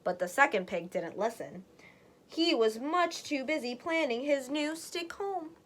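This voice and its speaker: A woman storyteller narrating a children's read-aloud in an expressive, deliberate way. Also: high-pitched, a little hiss or hum.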